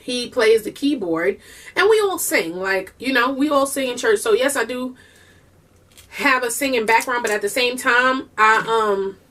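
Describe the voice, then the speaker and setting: A woman chatting casually while eating, talking fast with a Southern US and Puerto Rican-tinged accent and slipping into bits of song. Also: on the high side, a bit bright, recorded from a distance. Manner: breaks into bursts of singing. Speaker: vlogger and mother.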